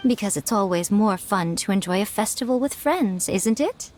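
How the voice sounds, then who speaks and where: A woman's voice: mid-range voice, expressive, moderate pace, a little mellow, slight background noise, close to an everyday voice. A woman playing a poised, sly and knowing lady in an anime game, teasing and assured in her delivery. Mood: knowing, kindly.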